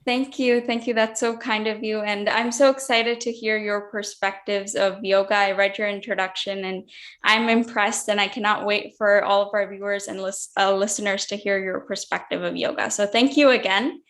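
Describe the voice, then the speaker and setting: A woman's voice: medium pitch, steady tone, normal speed, a bit bright. A woman hosting an interview show, reading an introduction and asking questions in a gracious, upbeat way. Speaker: host.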